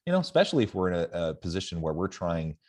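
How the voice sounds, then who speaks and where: A man hosting a casual conversational podcast, reflecting in an agreeable, slightly halting way. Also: low-pitched, very animated, a little mellow. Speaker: podcast host, university professor.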